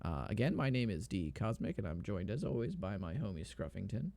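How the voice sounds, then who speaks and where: A man's voice: fairly low pitch, sing-song, fairly quick, gravelly, dark tone. A man commentating a video game speedrun race, explaining in a laid-back way with lots of fillers.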